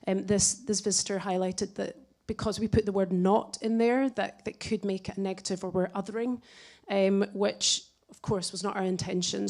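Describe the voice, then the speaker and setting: A woman's voice: mid-range voice, a little mellow. A woman moderating a live panel discussion, polite and hesitant, with many fillers as she talks. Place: gallery event space.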